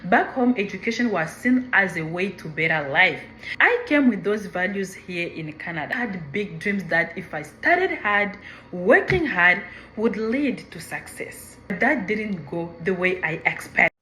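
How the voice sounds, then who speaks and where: A woman from Congo calmly telling her story as an immigrant. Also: mid-range voice, measured pace, faint music behind. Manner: calm, plain storytelling. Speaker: immigrant sharing a testimonial. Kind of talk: personal testimonial. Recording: echoey room.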